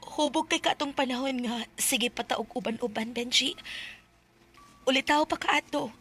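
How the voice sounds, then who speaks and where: An ashamed yet determined single mother in a radio drama, with a high, bright voice. Her delivery is halting and emotional, like a confession, in a Cebuano accent. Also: faint music behind. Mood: ashamed, confessional. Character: ashamed, resolute single mother confronting old friend. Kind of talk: Filipino Bisaya radio drama acting.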